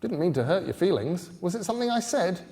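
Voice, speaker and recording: A man voicing an amiable, well-spoken English gentleman in an audiobook, politely rambling and hesitant. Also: high voice, very animated, fast, voice pushed up. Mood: mildly wounded. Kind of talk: audiobook character dialogue.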